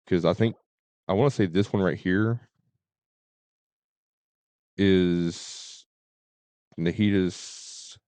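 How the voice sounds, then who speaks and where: Low-voiced man, casual game streamer. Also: darkish tone, no echo. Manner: halting, repeats and stutters on words.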